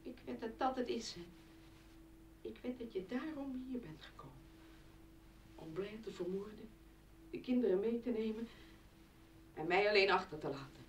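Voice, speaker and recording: A woman's voice: medium pitch, animated, normal speed, long pauses, roomy sound, far from the mic, a little hiss or hum, lightly acted. An actress playing an anguished, accusing woman in a radio play, speaking with raw emotion. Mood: bitter, accusing.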